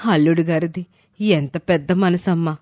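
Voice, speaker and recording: A woman's voice: lowish voice, fairly even intonation, moderate pace, mellow, faint music behind, natural voice. A woman playing a worried, self-sacrificing older mother in a dramatised moral story. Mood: moved.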